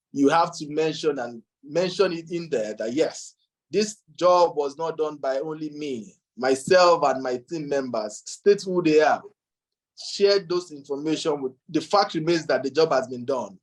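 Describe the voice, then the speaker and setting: A man's voice: fairly high pitch, rough recording. Earnest man giving career advice. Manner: earnest, repetitive, advisory. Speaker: panelist. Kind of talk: webinar panel advice.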